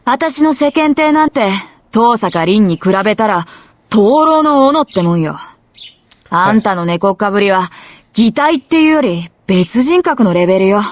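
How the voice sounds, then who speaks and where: A woman's voice: mid-range voice, lively intonation, no echo, close to an everyday voice. A voice actress playing a brash, teasing schoolgirl who captains the archery club, in a game voice clip with music under it. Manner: teasing and blunt, quick retorts.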